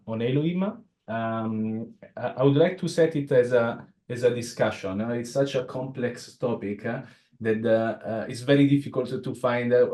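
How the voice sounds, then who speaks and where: A man's voice: medium pitch, mellow. A man from Italy with an Italian accent, giving an informal lecture to a study group in a bookshop room, hesitant and full of filler sounds. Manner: hesitant, many filler sounds, non-native phrasing. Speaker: presenter.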